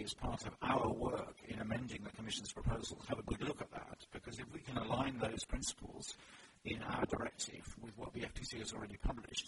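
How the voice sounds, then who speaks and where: A British man answering interview questions as a lawmaker, hesitant, with many restarts and fillers. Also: on the low side. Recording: loud background noise.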